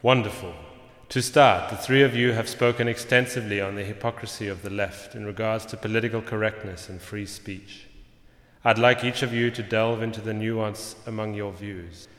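An actor playing a courteous, slightly pompous professor moderating a panel in a radio play, heard in an echoey auditorium. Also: male voice, on the low side, steady tone, moderate pace, a bit bright, natural voice. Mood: formal, welcoming. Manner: formal, pompous. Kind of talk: formal introductions in a radio play. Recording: echoey auditorium with long reverb.

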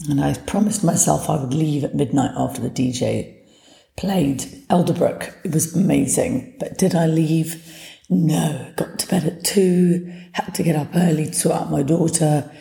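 Hoarse woman podcaster, low gravelly voice. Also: moderate pace, warm tone. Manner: chatty, upbeat, motivational. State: hoarse, partly lost voice. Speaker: podcast host. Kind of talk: casual solo podcast talk. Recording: echoey room.

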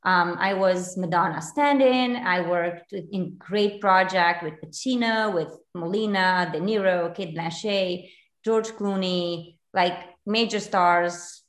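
A woman's voice: lowish voice, takes it slowly, a bit bright. A woman in her forties from Romania, a realtor guest in a casual interview, chatty with many fillers and restarts. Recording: echoey room.

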